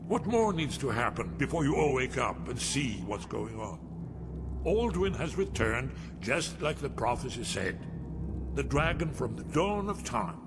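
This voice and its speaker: Agitated old recluse, voice swooping. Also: male voice, medium pitch, big pitch swings, measured pace, noisy background. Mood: exasperated, urgent. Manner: agitated, dramatic, exasperated. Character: paranoid, weary old male recluse in hiding. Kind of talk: fantasy video game voice acting. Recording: loud music behind the voice.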